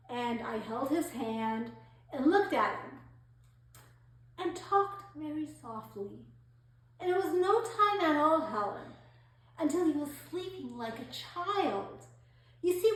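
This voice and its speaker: A woman performing a theatrical monologue as a gullible, self-pitying society lady, affected, gushing and confiding. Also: high-pitched. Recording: very echoey room, distant mic.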